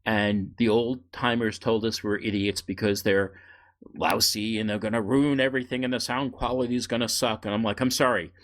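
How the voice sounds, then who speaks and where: A man's voice: fairly low pitch, steady tone, moderate pace. Middle-aged man telling stories casually. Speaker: podcast host. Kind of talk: casual conversational storytelling.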